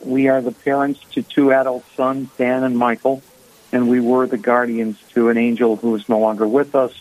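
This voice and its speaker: A man's voice: mid-range voice, flat delivery, moderate pace, darkish tone, poor audio. A man in his sixties from the Boston area with a Boston accent, a guest speaking earnestly in a radio interview.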